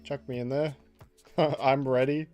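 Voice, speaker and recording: A man casually chatting on a livestream while he draws, reading out chat messages, laughing often and jumping up in pitch for jokes. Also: steady tone, a little slow, a little mellow, faint music behind.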